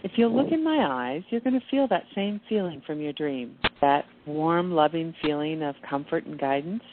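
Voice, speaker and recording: A woman playing a gentle, knowing, reassuring angel in an amateur radio play. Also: low voice, lively intonation, moderate pace. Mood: soothing. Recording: dull muffled audio with clear background noise.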